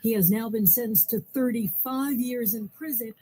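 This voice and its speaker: A woman reading local radio news in an even, formal delivery. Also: fairly high pitch, moderate pace, husky.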